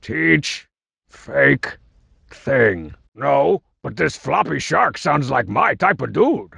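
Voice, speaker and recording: An actor playing a cocky, boastful, juvenile cartoon villain for a comedy video game, with a raspy growl and mocking, slangy tough-guy delivery. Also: medium pitch, big pitch swings, takes it slowly, a bit bright, no echo, full character voice. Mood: bragging. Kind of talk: exaggerated comic video game character acting.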